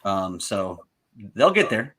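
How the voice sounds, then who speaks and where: A man's voice: fairly low pitch, very animated, slow pace, bright, forceful tone. A young man hosting a live stream, chatting enthusiastically and praising his guest. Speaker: stream host. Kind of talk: casual live stream chat.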